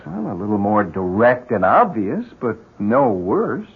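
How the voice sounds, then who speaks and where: An actor playing a resigned, cynical man, wry and measured, in 1970s radio drama style. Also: lowish voice, very animated, slightly rough, full character voice. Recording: dull 1970s radio recording.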